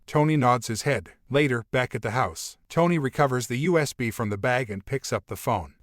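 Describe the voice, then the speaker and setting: A man's voice: mid-range voice, a little fast, faint music behind. A man narrating a scripted story as the storyteller, recorded close to the microphone.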